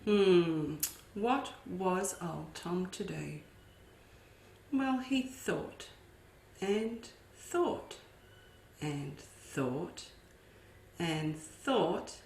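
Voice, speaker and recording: Woman educator, unhurried storytime reading for kids, lively asides, roomy echo. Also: lowish voice, slight background noise. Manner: expressive, with asides to young listeners. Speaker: children's educator. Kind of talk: storytime read-aloud. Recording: echoey room.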